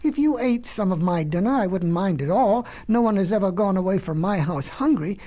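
A patient, sensible work ox, voiced by a woman storyteller, reasoning in a calm, unhurried way. His reproach stays polite and measured, in a storybook tone. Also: mid-range voice, natural voice.

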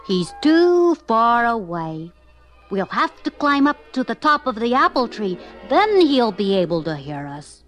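An innocent little boy piglet from a storybook, voiced by a woman. He is eager and earnest, with a high, lively voice and childlike emphasis. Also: a little slow, soft background music. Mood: earnest explaining.

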